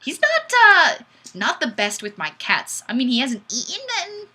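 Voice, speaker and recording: A woman hosting a casual live stream, chatting and reading comments in a goofy, animated, exclamatory way. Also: high voice, normal speed, husky. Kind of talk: casual chat, reading viewer comments aloud.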